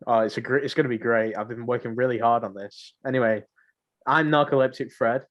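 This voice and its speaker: A man's voice: mid-range voice, fairly even intonation, brisk, dull audio. A young man co-hosting a podcast in casual banter, joking and rambling, with slangy phrases he often repeats. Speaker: podcast co-host.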